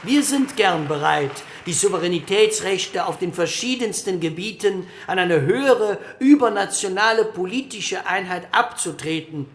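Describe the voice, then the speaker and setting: An actor playing a firm, earnest male post-war German parliamentarian, making a measured, formal political statement. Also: high voice, a bit bright. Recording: echoey room, faint noise.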